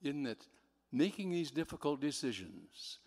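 A man's voice: on the high side, very animated, unhurried, vocal fry, darkish tone. A man giving an earnest, somewhat rambling personal testimony from the audience at a religious gathering. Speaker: audience member. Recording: reverberant hall.

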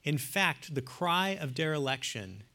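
A man giving a classroom lecture in an earnest, emphatic way, swinging from hushed to loud. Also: a little high, sing-song, unhurried. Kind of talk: classroom lecture on theology and poetry.

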